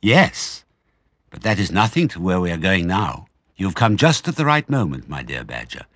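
Man as a crafty, husky father fox. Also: sits low, big pitch swings, a bit bright, no room sound, studio quality, exaggerated voice. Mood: conspiratorial. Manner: assured, brisk, courteous, conspiratorial.

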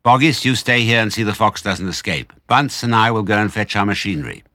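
A man's voice: fairly low pitch, animated, brisk, sharp tone, no room sound, studio quality, voice pushed up. A man voicing a lean, dirty, deaf villain farmer in a storybook, angry, curt and bossy. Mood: bossy, scheming. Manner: emphatic, menacing, curt.

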